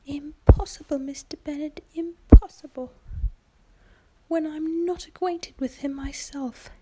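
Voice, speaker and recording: A woman voicing a fretful middle-aged wife and mother in a breathy, whispered ASMR storybook reading, querulous and dramatic. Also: high-pitched, fairly even intonation, measured pace, very noisy, pitched above the performer's own voice. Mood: agitated, exasperated.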